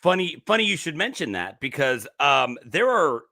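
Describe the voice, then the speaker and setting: Gravelly, bright-voiced male podcast host. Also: a little high, very animated, normal speed, no echo, studio quality. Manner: chatty and explanatory, many fillers and restarts. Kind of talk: casual chat about a horror movie.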